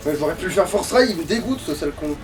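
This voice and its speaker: A man's voice: a little high, animated, fast, far from the mic, music in the background. A man giving casual, informal commentary while he plays a video game, often muttering to himself and swearing. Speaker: let's player playing the game.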